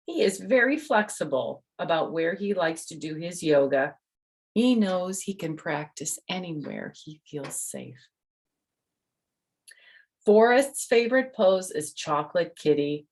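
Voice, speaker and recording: An older woman reading a children's picture book aloud, speaking to young listeners and adding chatty personal asides. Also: mid-range voice.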